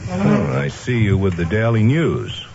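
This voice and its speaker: A man playing a smooth, evasive estate lawyer in an old-time radio drama, polite and insinuating. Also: on the low side, huge pitch swings, a little slow, heavily acted. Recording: dull, noisy old radio recording.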